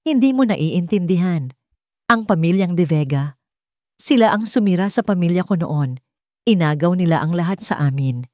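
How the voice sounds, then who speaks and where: Woman acting villainous rich wife, audio drama, cold, vengeful, deliberate menace, sneering, close dry sound. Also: on the low side, lively intonation, darkish tone, no echo, close to an everyday voice. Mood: vengeful, gloating.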